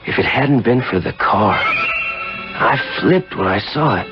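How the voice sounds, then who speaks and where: A man's voice: mid-range voice, expressive, takes it slowly. An actor playing a brash, flirtatious American car salesman in a 1970s radio drama, with slangy, cocky talk. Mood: cool narrating. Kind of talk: 1970s radio drama acting, dialogue and first-person narration. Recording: dull 1970s radio broadcast, music behind.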